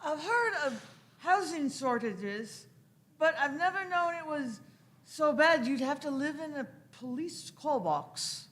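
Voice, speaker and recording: A woman's voice: high-pitched, expressive, slow, a bit bright, full character voice. A woman playing a sceptical, sarcastic police detective inspector in a radio play, asking dry, probing questions. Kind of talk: live radio-play acting.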